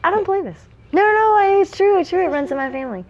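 A woman's voice: very high-pitched, animated, fast talker, darkish tone. A woman voicing a scatterbrained, anxious female cartoon fish, frantic and repeating herself. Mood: flustered. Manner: frantic, repetitive. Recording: dull lo-fi recording.

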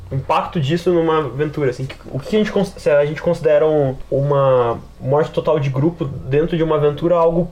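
A man's voice: a little high, mellow. A man with a Brazilian accent hosting a casual podcast discussion in a chatty, slangy, rambling way. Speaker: podcast host and game master.

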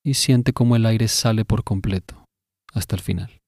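A man narrating a scripted guided meditation in a measured, instructive way, pausing between phrases. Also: lowish voice, darkish tone, no echo, clean studio sound.

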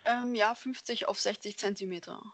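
Female interview guest, medium pitch, casual conversational delivery, dry close sound without room echo. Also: fairly even intonation, moderate pace, a bit bright. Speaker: podcast guest, hobby painter.

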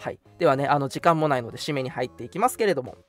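A man's voice: mid-range voice, animated, very fast, a bit bright. A man hosting a casual radio show, chatting politely and making announcements over background music.

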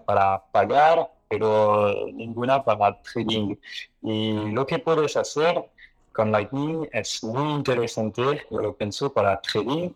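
Male guest, slow and hesitant, low voice, lots of ums. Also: low-quality recording. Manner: hesitant, many filled pauses. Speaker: exchange founder, guest. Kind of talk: casual interview talk.

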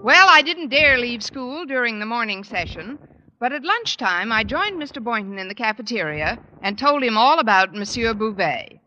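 An American woman playing a wry, quick-witted schoolteacher with a dry, sardonic delivery in 1950s radio sitcom style. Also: on the high side, big pitch swings, pushed and bright, muffled recording, faint music behind. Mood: wry narration. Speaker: lead actress and narrator.

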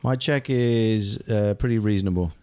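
Man in his forties, lowish voice, chatty guest full of ums and restarts. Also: normal speed, darkish tone, clear, resonant tone. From England. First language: German. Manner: chatty, hesitant, many fillers and restarts. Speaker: guest, actor. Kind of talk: casual conversation.

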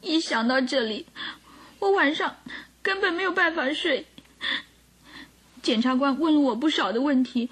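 An actress playing a gentle, sorrowful young governess, earnest and emotional, in a radio drama. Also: female voice, high voice. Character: gentle, sorrowful young governess under suspicion, pleading tearfully.

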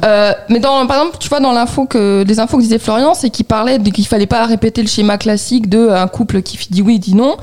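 A young woman in her twenties speaks with a fairly high voice. She co-hosts a casual podcast and argues her point animatedly, full of hesitations and restarts. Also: fast talker, hardly any pauses. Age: 20s. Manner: animated, argumentative, many fillers and restarts. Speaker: podcast co-host. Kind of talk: casual debate about a TV series.

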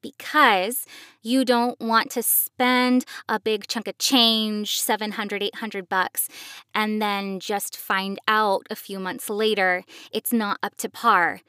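A woman's voice: mid-range voice, fairly even intonation, slow, pushed and bright, smooth, no echo, clean studio sound. A woman giving casual, reassuring, explanatory advice as a podcast host. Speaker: podcast host, professional voice actor.

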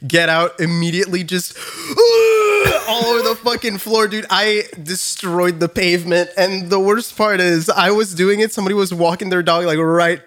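A man's voice: sits high, expressive, normal speed, hardly any pauses, fairly bright tone. A man from Canada teasing his friends in casual banter, crude and swearing heavily.

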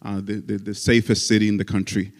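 Pastor guy from the dominican republic, kinda low voice, jokey preaching, big church echo. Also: little pitch movement, fairly quick, a little mellow. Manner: folksy preaching with jokes and frequent amens. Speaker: guest pastor. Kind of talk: sermon. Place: church sanctuary. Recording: church hall reverb.

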